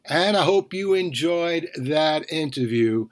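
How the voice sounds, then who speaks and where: Slow-talking man hosting a podcast, higher-pitched voice. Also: expressive, soft background music. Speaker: podcast host. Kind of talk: conversational podcast outro.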